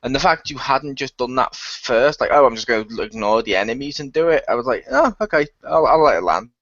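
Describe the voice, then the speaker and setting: A man's voice: mid-range voice, animated, a little fast, fairly bright tone, no echo. Man chatting casually on dull call audio. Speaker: player in an improvised radio play. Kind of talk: casual chat. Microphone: online voice call.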